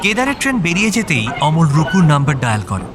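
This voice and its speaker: A man's voice: a little high, huge pitch swings, fast, background noise. A man narrating an audio story in a scripted, dramatic style.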